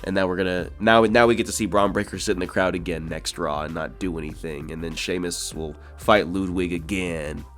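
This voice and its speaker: A man's voice: low-pitched, steady tone, brisk, hardly any pauses, fairly bright tone, soft background music. A young man co-hosting a casual wrestling chat, recapping in a wry, giggly way. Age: young adult. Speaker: co-host.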